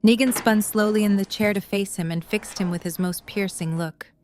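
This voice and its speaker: A woman's voice: medium pitch, fairly even intonation, normal speed, a little mellow, slight background noise. A woman narrator reading scripted dramatic prose for an audio drama.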